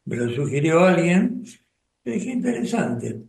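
Older argentine guy on a radio show, thinks out loud, slow w lots of pauses, voice a bit high, echoey room. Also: big pitch swings, gravelly. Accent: Rioplatense Spanish. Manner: slow, thoughtful, with pauses and restarts. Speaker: spiritual group coordinator and radio guest. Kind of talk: reflective radio conversation.

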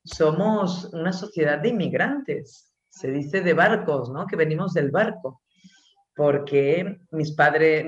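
A woman with a Spanish accent, an author answering interview questions slowly and thoughtfully, pausing often. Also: deep voice, echoey room, lo-fi sound. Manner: slow, thoughtful, with many pauses and fillers. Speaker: author and guest.

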